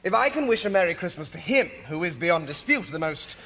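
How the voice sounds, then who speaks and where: Genial high-voiced party host. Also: male voice, very animated, brisk. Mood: teasing. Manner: jovial, speechifying. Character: genial, good-humored nephew hosting a Christmas party. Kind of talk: radio drama acting. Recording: hall reverb, crowd behind.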